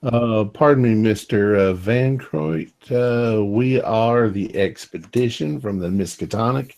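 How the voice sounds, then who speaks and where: Casting: polite, stammering male player with a lowish voice. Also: a little slow, darkish tone. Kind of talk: playing a polite character in a tabletop game.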